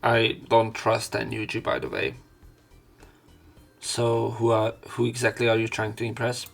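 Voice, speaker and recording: A man's voice: on the low side, monotone, measured pace, vocal fry, soft background music. A man reading visual novel narration aloud as a let's play commentator, adding casual, wry, sweary asides.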